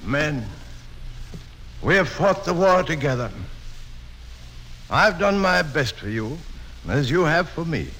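A man's voice: a little high, lively intonation, takes it slowly, long pauses, a bit bright. A man playing a noble, defeated general who addresses his soldiers in solemn, stately radio drama oratory. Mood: solemn farewell. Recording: old radio recording, crowd noise behind.

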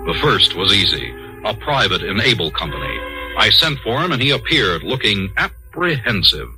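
A self-important army officer in a vintage radio drama. His voice is piercingly bright and swings widely in pitch, brisk and clipped, over faint music on an old broadcast recording. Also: very animated, full character voice, poor audio. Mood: brisk, confiding. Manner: clipped military phrasing, officious. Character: brisk, self-important male army colonel who narrates. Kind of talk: old-time radio drama narration and dialogue.

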